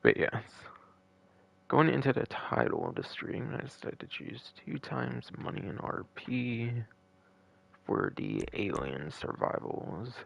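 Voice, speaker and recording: A man's voice: medium pitch, wildly swooping pitch, slow pace, gravelly. A man hosting a gaming live stream with casual, rambling, repetitive commentary and the odd swear word. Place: a room at home.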